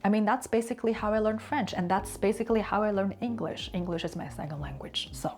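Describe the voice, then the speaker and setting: A woman whose first language is Chinese, giving an earnest, reflective talk on consistency and slowing down to stress key words. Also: medium pitch, darkish tone, a little hiss or hum. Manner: conversational asides and fillers. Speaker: language and culture teacher.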